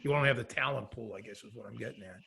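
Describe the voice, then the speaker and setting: A man's voice: medium pitch, rapid-fire, vocal fry, bright, forceful tone, slightly rough. A middle-aged American man chatting casually on a podcast, rambling through anecdotes as he goes. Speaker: podcast host.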